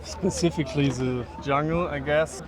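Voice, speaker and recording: A man's voice: fairly high pitch, fairly even intonation, normal speed. A man from Germany, speaking friendly, simple English with small slips. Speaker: tourist being interviewed. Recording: crowd chatter around.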